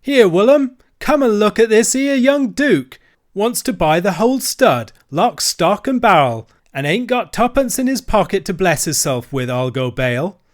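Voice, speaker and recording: A man voicing a lean, scornful male horse dealer who talks in a rough, bullying dialect. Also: high-pitched, sing-song, moderate pace, hardly any pauses, voice pushed up.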